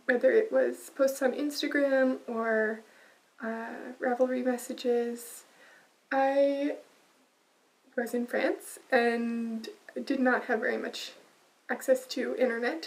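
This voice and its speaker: A quiet-voiced woman hosting a casual knitting podcast, soft-spoken and full of small ums and uhs. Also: a little high, far from the mic, poor audio. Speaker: podcast host.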